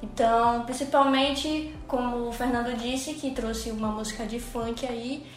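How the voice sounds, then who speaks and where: A woman from Pará speaks with a Paraense accent as a language teacher on a panel. Her voice sits high, and she explains at length with frequent hesitations and restarts. The room around her is echoey. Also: fairly even intonation, unhurried, faint music behind. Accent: Paraense Brazilian Portuguese. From Pará, Brazil. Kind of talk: educational panel discussion.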